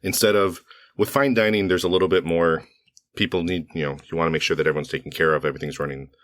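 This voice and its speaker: A very low-pitched man talking on a podcast, his voice a little hoarse. He rambles casually with fillers and restarts. The sound is dry and close to the mic. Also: steady tone, fast, fairly bright tone, no echo. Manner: rambling, with frequent fillers and self-corrections. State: slightly hoarse from allergies. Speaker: podcast co-host.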